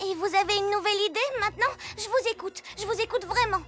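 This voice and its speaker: A woman voicing a confident six-year-old girl superhero in a French cartoon dub, earnest and excitable. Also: very high voice, fast, over music. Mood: apologetic. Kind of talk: French-dubbed cartoon acting.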